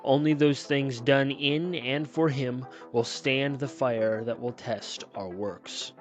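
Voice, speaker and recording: A man's voice: medium pitch, fairly even intonation, takes it slowly, soft background music, lightly acted. A man voicing a smiling male television preacher who sermonizes in a sing-songy cadence. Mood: preaching. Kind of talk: storybook reading.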